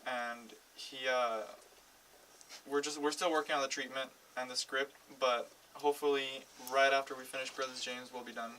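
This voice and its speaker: Flat-voiced male director, hesitant. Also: medium pitch, moderate pace. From United States. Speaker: film editor and director guest. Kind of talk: casual interview chat. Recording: distant mic with clear noise.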